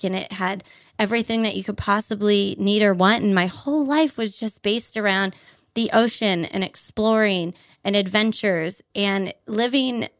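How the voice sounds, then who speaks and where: A woman's voice: medium pitch, a bit bright, dry recording, studio quality. A young adult woman from Hawaii, enthusiastically recounting her life story as a guest in an interview. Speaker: podcast guest.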